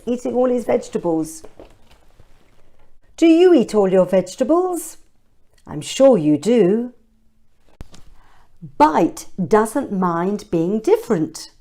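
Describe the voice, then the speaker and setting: Woman, read-aloud storyteller, unhurried pace, swooping expressive delivery, chatty asides to kids. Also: medium pitch. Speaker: children's storybook reader. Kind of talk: read-aloud storytelling with questions to young listeners.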